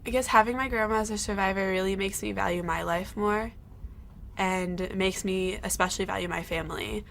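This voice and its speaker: A teenage girl speaking in a soft, thoughtful way in an interview. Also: medium pitch, steady tone, fairly quick, creaky, slight background noise. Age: teens. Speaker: student filmmaker. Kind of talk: reflective interview.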